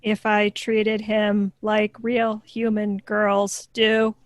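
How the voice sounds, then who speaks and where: A woman playing a literal, obedient child robot with a flat, robotic delivery in a sitcom table read. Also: mid-range voice, drawn-out words.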